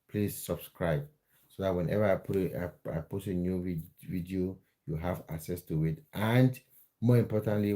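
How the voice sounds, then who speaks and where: Streamer host, male, from Cameroon; fairly low, warm voice, relaxed chat with frequent restarts. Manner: chatty, restarts words, reads viewer comments aloud. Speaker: football livestream host. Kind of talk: casual live chat with viewers.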